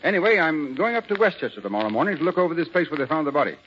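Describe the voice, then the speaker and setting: Breezy district attorney, muffled noisy old radio. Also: male voice, on the high side, sing-song, racing. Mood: brisk, friendly. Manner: breezy, assured, lightly teasing. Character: genial, confident district attorney, middle-aged man, teasing friend. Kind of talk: 1940s radio drama acting.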